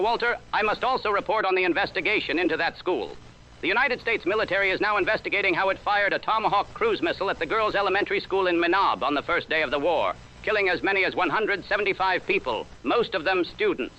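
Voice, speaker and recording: A man reporting as a Washington correspondent in a formal, measured 1930s radio newscast style. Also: high voice, bright, forceful tone. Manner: formal, measured, old-time announcer cadence. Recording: lo-fi vintage radio sound with faint hiss.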